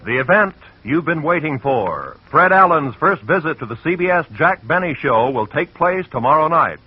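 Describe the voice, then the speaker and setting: A male radio announcer reading credits and promos in a crisp, formal broadcast manner, on an old lo-fi broadcast. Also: fairly high pitch, animated, normal speed, slight background noise.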